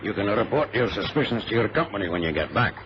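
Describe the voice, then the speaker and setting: Sensible old-time adventurer, lowish voice, measured, old radio serial, dull noisy recording. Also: male voice, very animated. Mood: resolute. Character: measured, loyal male adventurer, sensible companion and narrator.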